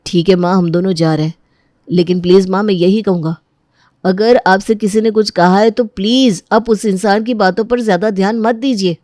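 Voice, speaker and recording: A woman acting an earnest, concerned young husband in a family audio drama, gentle and reasoning. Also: mid-range voice, fairly even intonation, moderate pace, no room sound, clean studio sound, natural voice. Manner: earnest, reassuring.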